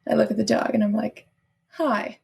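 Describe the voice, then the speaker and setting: A woman's voice: fairly high pitch, moderate pace, vocal fry, darkish tone. A woman playing a tabletop roleplaying game, chatting casually and speaking as her character.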